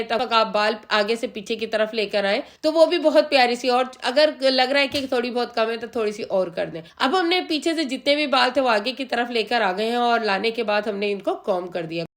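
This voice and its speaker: A hoarse woman, patiently explaining a hairstyle step by step as a salon channel host, repeating the key steps. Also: fairly high pitch, fairly quick, few pauses. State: hoarse from a sore throat. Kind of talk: step-by-step hair styling tutorial.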